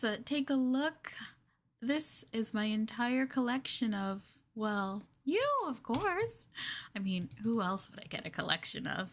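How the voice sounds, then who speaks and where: A woman playing a clingy, sweetly possessive young girlfriend in an ASMR roleplay, with a coy, hesitant, coaxing delivery. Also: medium pitch. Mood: sweetly obsessive. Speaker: streamer performing ASMR roleplay.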